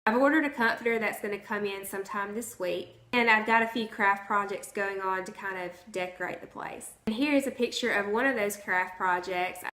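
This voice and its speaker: Woman, hoarse, bright voice, chatty vlog talk, echoey room. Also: mid-range voice, moderate pace, few pauses. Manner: chatty, conversational. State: hoarse from the flu. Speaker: vlogger. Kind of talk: casual chat to camera. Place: at home, indoors. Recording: roomy echo.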